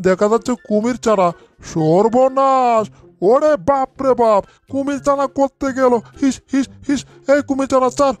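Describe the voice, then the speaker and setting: A man's voice: extremely high pitch, normal speed, faint music behind. A man voicing a grumbling, easily spooked bulldog in a cartoon dub, exclaiming in bewilderment. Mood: indignant, then frightened.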